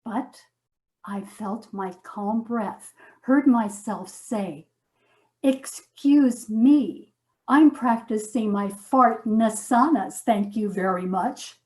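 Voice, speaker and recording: A woman performing a theatrical comic monologue as first-person storytelling, with dramatic, mock-solemn delivery and deliberate pauses. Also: a little high, darkish tone. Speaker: stage performer.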